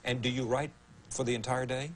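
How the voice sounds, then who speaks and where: A man hosting a television interview, asking brisk questions and prompting often. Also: medium pitch, fairly even intonation. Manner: brisk, prompting, with frequent yeahs.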